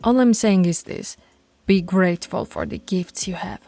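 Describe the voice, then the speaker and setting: A woman voicing a dominant, stern alpha werewolf pack leader in an ASMR roleplay, scolding and taunting in a commanding, deliberate way. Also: fairly low pitch, creaky, mellow, faint music behind. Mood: stern lecturing.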